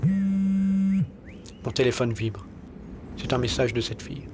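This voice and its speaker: A man's voice: medium pitch, lively intonation, takes it slowly, noisy background. A man narrating a first-person audio short story in terse, weary, wry and colloquial style.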